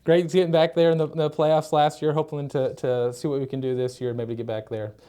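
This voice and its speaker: Male sports media host. Slightly high, soft-edged voice, conversational questions with frequent restarts. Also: level tone, a little fast, clear, resonant tone. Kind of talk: sports interview hosting.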